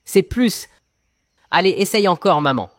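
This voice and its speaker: A man plays a young farm lad in a children's cartoon tale. The voice is on the high side and recorded dry. He is cheeky and eager, always ready to argue or play on words. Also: expressive, unhurried, no echo. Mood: excited. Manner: cheeky, eager, pun-loving. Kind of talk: children's cartoon storybook acting.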